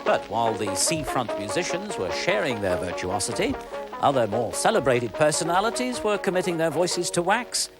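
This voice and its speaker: A British man presenting a history programme in a measured, explanatory and slightly wry way. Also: fairly high pitch, big pitch swings, loud music behind the voice, low-quality recording. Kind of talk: television history programme narration.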